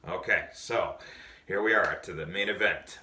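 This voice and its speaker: Awards presenter, man, very deep voice, earnest and measured, recorded far off in an echoey room. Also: bright, forceful tone. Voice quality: low voice. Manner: earnest, measured advice with many pauses. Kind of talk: prepared speech to drama students. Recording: echoey, distant mic.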